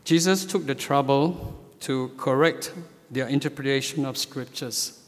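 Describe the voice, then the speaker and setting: Church elder, male, preaching a sermon; slow and measured delivery, reverberant sanctuary. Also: medium pitch. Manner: slow, measured, with frequent hesitations. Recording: long hall reverb.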